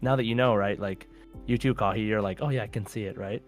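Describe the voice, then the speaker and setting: A man's voice: lowish voice, fast talker. A man chatting casually as a podcast co-host who takes part in a quiz. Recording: faint music behind the voice. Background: faint music.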